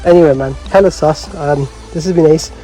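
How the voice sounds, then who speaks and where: A man with a fairly high but soft, mellow voice chats casually as a host. He talks in loose slang with some swearing and a bemused, storytelling manner. Music plays behind him. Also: fairly quick, low-quality recording. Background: background music.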